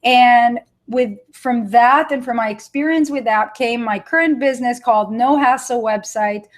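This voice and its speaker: Israeli woman in her thirties, webinar trainer, chatty and warm-pitched, laughs easily. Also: normal speed. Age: 30s. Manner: chatty, laughs often, talks to viewers by name. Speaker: online marketing trainer. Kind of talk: live webinar teaching.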